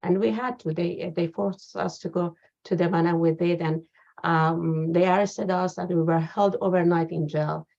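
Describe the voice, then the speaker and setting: A woman guest in an interview, telling a personal account slowly with many pauses and fillers. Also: fairly low pitch, steady tone, creaky, darkish tone. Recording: dull lo-fi sound.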